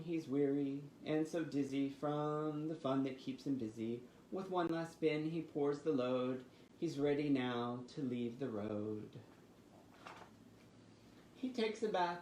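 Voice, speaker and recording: A man hosting storytime for young children, with an expressive, sing-song delivery. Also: fairly high pitch, slow pace. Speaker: children's library storytime host. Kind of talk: reading picture books and leading finger rhymes. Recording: roomy echo with faint background noise.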